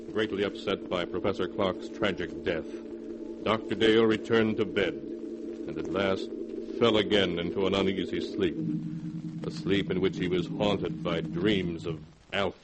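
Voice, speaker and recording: A male narrator telling a story in a solemn style for a 1940s radio drama. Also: low-pitched. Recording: noisy old radio broadcast recording.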